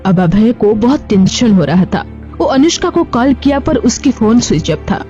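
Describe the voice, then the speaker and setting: Female story narrator, high and animated delivery, scripted read over a music bed. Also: normal speed, lo-fi sound. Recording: music behind the voice.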